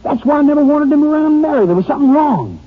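A man's voice: extremely high pitch, animated, fast. A man playing a defensive, evasive young small-town brother in an old-time radio drama, speaking in a prickly, folksy way. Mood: uneasy, evasive. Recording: tinny, noisy old radio recording.

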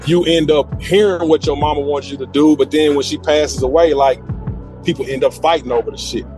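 A man in his thirties with a fairly high voice speaks as a podcast guest. He is casual and reflective, working out his thoughts in halting, slangy phrases over light background music. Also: fairly even intonation, darkish tone. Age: 30s.

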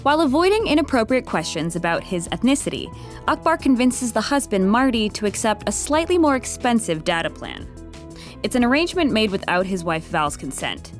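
A woman reading scripted narration for a comedic audio drama in a measured, wry storytelling manner. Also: a little high, big pitch swings, faint music behind.

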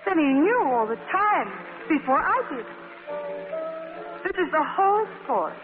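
An actress playing a brisk, bossy and proud woman magazine editor, in theatrical 1940s radio drama style. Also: extremely high pitch, sing-song, narrow, tinny audio, music in the background, heavily acted. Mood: rueful. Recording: noisy, band-limited 1940s radio broadcast.

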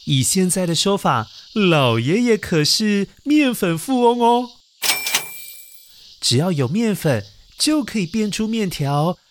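Gentle man telling a children's story. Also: high voice, slight background noise. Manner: gentle, coaxing, addresses young listeners as little ones. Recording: narration over backing music. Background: music.

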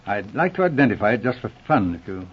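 An actor playing a shrewd, courteous police inspector in a 1940s radio drama, polite, probing and understated. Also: male voice, on the low side, sing-song, fast talker, breathy edge. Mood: musing. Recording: muffled old radio recording.

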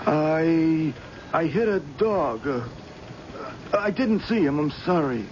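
A man's voice: on the high side, animated. A man playing a weary, evasive, uneducated drifter who stammers under questioning in a radio drama. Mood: evasive, flustered. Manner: halting, stammering, rustic ungrammatical speech. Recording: dull old radio broadcast, faint noise.

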